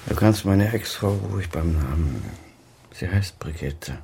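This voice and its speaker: An actor in a German radio crime drama playing a defensive, guilt-laden senior doctor and father with a husky, clipped delivery. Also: deep voice, expressive, normal speed, warm tone, slight background noise. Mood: subdued, troubled. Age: middle-aged or older.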